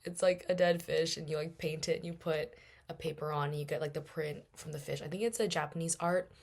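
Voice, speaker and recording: Hoarse young woman chatting casually. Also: sits low, fairly even intonation, a little fast, a little mellow. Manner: rambling, chatty, many fillers. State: hoarse, worn-out voice. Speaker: podcast host. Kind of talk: casual solo podcast chat.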